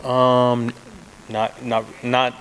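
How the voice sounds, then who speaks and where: A man's voice: mid-range voice, monotone, extremely slow, fairly bright tone, slight background noise. A man, a pro fighter, answering reporters at a press day, confident and full of restarts and "you know"s. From United States. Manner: confident, trash-talking, many restarts and fillers.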